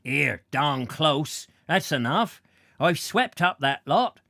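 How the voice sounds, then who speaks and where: Man playing a hoarse barking fairground clown. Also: fairly high pitch, lively intonation, slow, dry recording, studio quality, heavily acted. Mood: gruff. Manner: barking, shouting drill-sergeant calls. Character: hoarse bellowing male fairground clown, ex-sergeant.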